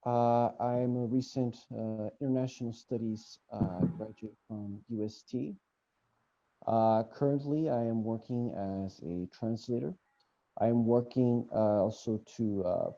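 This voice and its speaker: A young man from Texas introducing himself informally on a video call, in a measured, somewhat hesitant way. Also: on the low side, gravelly, dark tone. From Texas, United States. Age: young adult. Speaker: translator on a panel. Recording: dull video-call audio.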